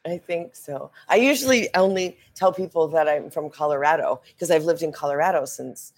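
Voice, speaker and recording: A podcast guest in her forties, originally from Minnesota, with a low speaking voice. She talks frankly and openly in a casual back-and-forth with the host. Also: normal speed. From Minnesota, USA. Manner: frank and open, often laughing lightly between remarks. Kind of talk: casual interview conversation.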